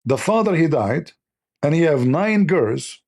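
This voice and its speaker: A man with a voice worn hoarse, giving scornful, lecturing commentary on religious texts in non-native English. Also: on the high side, big pitch swings, a little slow, darkish tone. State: losing his voice. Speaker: religious debate host. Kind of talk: polemical commentary reading texts.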